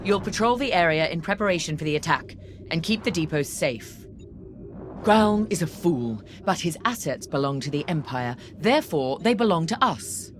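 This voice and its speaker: A woman's voice: sits low, animated, normal speed, faint music behind. An actress playing a proud, ruthless woman starship captain who speaks in a clipped, formal, commanding way in a video game. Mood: stern briefing. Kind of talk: scripted video game cutscene acting.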